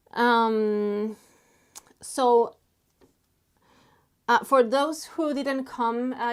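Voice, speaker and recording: Slow-talking woman from Spain. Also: on the high side. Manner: halting, many fillers, reads chat messages aloud. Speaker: artist live streaming while drawing. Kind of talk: casual live stream chat.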